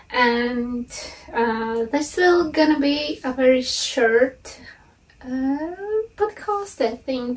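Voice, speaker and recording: A woman's voice: high-pitched, recorded from a distance, low-quality recording. A Latvian woman in her thirties with a Latvian accent, chatting cheerfully and hesitantly in a home vlog; English is not her first language. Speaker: vlogger. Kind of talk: casual vlog chat. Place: bright room at home.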